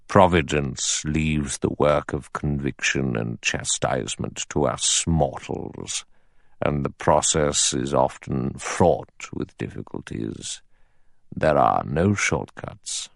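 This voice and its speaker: A man voicing a grave, elderly judge in an audiobook, pontificating slowly and formally. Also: a bass voice, expressive, vocal fry, dry recording, clean studio sound, voice pushed down, exaggerated voice. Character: grave elderly male judge, measured and stern.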